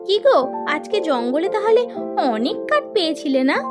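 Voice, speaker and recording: A woman's voice: very high-pitched, big pitch swings, moderate pace, loud music behind the voice. A woman voicing a greedy, nagging, scheming wife of a poor woodcutter in a children's cartoon, exclaiming and wheedling. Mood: curious, prying. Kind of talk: Bengali children's cartoon voice acting.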